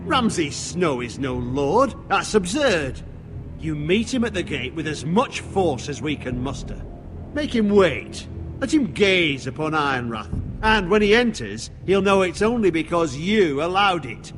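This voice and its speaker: A man's voice: on the high side, very animated, a little slow. A man playing a hot-headed, hawkish knight in a video game drama, blunt and contemptuous. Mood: scornful, defiant. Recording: long hall reverb, faint music.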